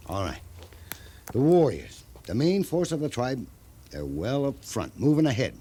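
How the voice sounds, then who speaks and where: An actor playing a shrewd, plainspoken frontier scout with a rural western American accent in a 1950s radio drama, folksy and matter-of-fact. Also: male voice, medium pitch, wildly swooping pitch, unhurried, warm tone, slightly rough, full character voice. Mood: shrewd, measured. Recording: faintly noisy 1950s radio recording.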